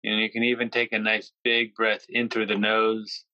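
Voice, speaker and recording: Even-toned man guiding a meditation. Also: fairly low pitch, no room sound, dull audio. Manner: slow, gentle, pausing between phrases. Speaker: meditation guide. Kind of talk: guided yoga nidra meditation.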